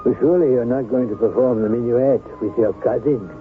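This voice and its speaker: Actor as urbane gentleman friend, tinny radio. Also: mid-range voice, brisk, lightly acted. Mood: teasing, sociable. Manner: polite, mannered, fussy. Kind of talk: period radio drama acting. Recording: tinny old radio broadcast, music behind.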